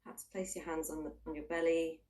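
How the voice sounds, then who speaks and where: A woman with a low voice leads a guided meditation, sitting a little way from the microphone. She gives gentle, invitational instructions, leaving long pauses between unhurried phrases. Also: level tone, distant mic. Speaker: mindfulness practitioner leading a guided body scan.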